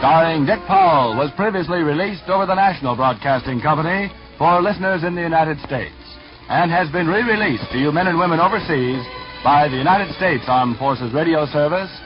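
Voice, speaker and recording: A man's voice: a little high, brisk. A male announcer reading a formal scripted announcement in 1940s radio style. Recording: dull 1940s radio recording, music behind.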